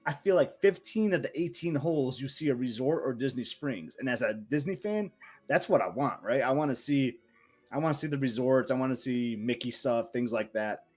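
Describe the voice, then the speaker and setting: A man's voice: mid-range voice, expressive, darkish tone. Chatty male podcast co-host. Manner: chatty, enthusiastic storyteller with quick asides. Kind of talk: casual chat.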